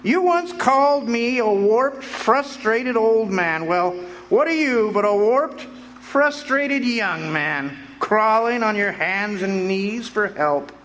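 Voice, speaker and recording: An actor playing a cold, greedy old banker in a live radio play, sneering and contemptuous. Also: male voice, high-pitched, expressive, slow, a bit bright, slight background noise, exaggerated voice, rough recording. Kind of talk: live radio drama acting.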